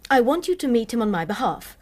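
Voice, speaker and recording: A proud, expressive young English lady. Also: a little high, fast. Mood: earnest, determined. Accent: upper-class English. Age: young adult. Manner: formal, haughty, clipped indignation. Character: proud, indignant young Victorian English noblewoman, defiant. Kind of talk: radio drama acting.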